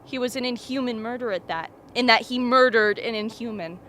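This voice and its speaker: Commanding, sardonic expedition boss, played by a woman, higher forceful voice, radio thriller, faint noise underneath. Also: fairly quick, lightly acted. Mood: grim. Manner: sardonic, authoritative. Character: commanding, sardonic expedition leader among frightened crew. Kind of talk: radio drama acting.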